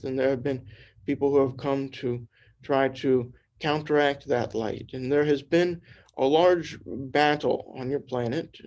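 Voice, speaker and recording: A man's voice: medium pitch, a little mellow, close to an everyday voice. A man channeling a solemn ancient spirit. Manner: slow, measured, formal pronouncements. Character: ancient spirit presence, genderless, solemn, speaking to earthlings. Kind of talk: trance channeling.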